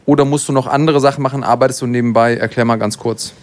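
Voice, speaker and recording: Guy hosting a chat, loose questions, lots of ähm, mid pitch. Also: steady tone, fast. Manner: chatty, asks questions loosely with fillers. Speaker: host. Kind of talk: casual interview.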